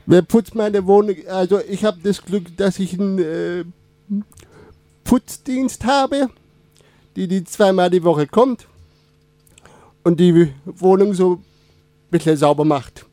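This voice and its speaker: A man in his twenties, Turkish as his first language, answering interview questions in a casual, halting way with many fillers and restarts. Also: sits high, dark tone, no room sound. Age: 20s. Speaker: radio guest.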